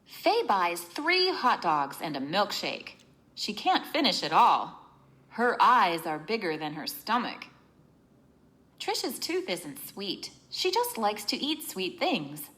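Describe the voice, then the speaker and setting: A woman reading a children's book aloud in an expressive, teacherly way, explaining as she narrates. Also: medium pitch, slow pace.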